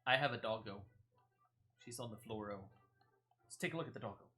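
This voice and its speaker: A man's voice: lowish voice, fairly quick, sharp tone. A man hosting a gaming video with casual, offhand gameplay commentary.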